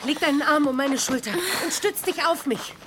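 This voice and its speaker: A woman's voice: high-pitched, normal speed, lightly acted. An actress in a radio play voicing a stern but caring medieval peasant mother, scolding and concerned. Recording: noisy scene with clicking effects.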